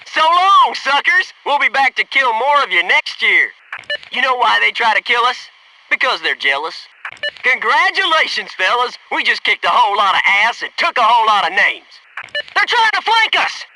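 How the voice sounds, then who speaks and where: An actor playing a cocky, keen young military squadmate in a video game, giving short clipped combat callouts. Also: male voice, very high-pitched, big pitch swings, moderate pace, bright, forceful tone, no echo, heavily acted, low-quality recording. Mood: urgent shouting.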